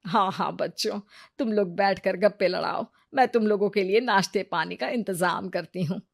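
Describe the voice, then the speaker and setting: Cartoon auntie, played by a woman: middle-aged, kindly, welcoming, affectionate, medium pitch. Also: moderate pace, gravelly.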